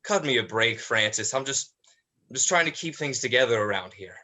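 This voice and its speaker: A man playing a stressed, parental eldest brother, exasperated and halting, in a play reading. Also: medium pitch, animated, bright, forceful tone. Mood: frustrated. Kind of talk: dramatized play script reading.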